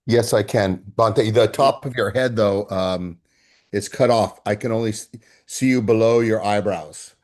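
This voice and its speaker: A man's voice: fairly low pitch, unhurried, darkish tone. A man chatting casually and politely as a participant helping with setup on a video call.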